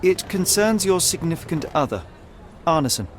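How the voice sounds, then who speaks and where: A man playing a composed, sharp-minded detective in a video game, speaking with precise, measured, analytical authority. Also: on the high side, expressive, a little hiss or hum, lightly acted. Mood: composed, grave. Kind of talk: dramatic detective game dialogue and deduction narration.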